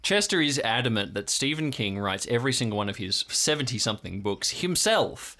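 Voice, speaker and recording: A man co-hosting a podcast, bantering casually with deadpan jokes. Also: medium pitch, a little fast. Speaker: podcast co-host and game master.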